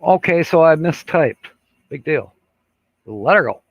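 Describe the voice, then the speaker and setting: A man's voice: fairly high pitch, animated, measured pace, gravelly, no room sound. An older man hosting a casual live stream, rambling and chuckling, with many fillers as he reads out viewer comments. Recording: dull lo-fi sound.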